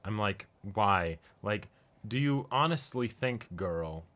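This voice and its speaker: A man's voice: fairly low pitch, expressive, slow, a bit bright. A man co-hosting a reaction show, chatting casually and emphatically, often repeating words for emphasis. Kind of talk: casual chat about an anime episode.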